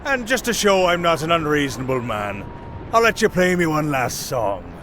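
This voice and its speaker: A man's voice: fairly high pitch, sing-song, fairly bright tone, slight background noise. A man doing a menacing, mocking pirate captain. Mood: cool menace. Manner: measured, mocking, archaic seaman's talk. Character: menacing, boastful male pirate captain, coolly cruel. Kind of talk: dramatic fantasy audio roleplay.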